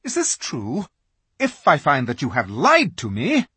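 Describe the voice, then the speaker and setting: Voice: mid-range voice, wildly swooping pitch, a little slow, sharp tone, slightly rough, no echo, pitched above the performer's own voice, exaggerated voice. A reader voicing a cruel, haughty witch queen who gives commands in a terrible voice, in an audiobook. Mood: imperious. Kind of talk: audiobook character voice.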